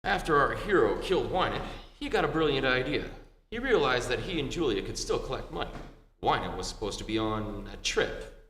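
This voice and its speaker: Man playing a wisecracking radio detective, lively and echoey. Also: mid-range voice. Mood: shrewd, confident. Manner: deliberate explaining, dry wisecracks. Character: shrewd, wisecracking male detective unmasking a killer. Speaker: amateur stage actor. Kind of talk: old-time radio detective drama. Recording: echoey hall with faint hum.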